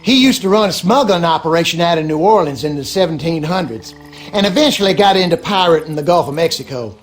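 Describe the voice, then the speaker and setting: An actor playing a gossipy, superstitious backwoods husband in a video game, with a rural Louisiana accent and folksy, colloquial talk. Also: male voice, fairly high pitch, animated, fast talker, slight background noise, natural voice. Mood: friendly, storytelling. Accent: rural Louisiana Southern American. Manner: folksy, drawling, colloquial storyteller. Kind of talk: animated game character dialogue.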